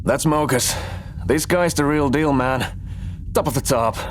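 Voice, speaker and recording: An actor playing a laid-back, friendly crewmate in a sci-fi audio drama, talking in a casual, slangy way. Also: male voice, medium pitch, unhurried, noisy background, lightly acted. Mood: impressed.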